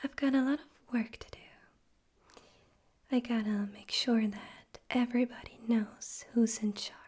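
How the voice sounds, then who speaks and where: A woman voicing a smug, theatrical male demon overlord in an ASMR roleplay, in a soft breathy whisper with a deliberate, teasing, condescending manner. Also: on the high side, steady tone. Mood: smug, fond.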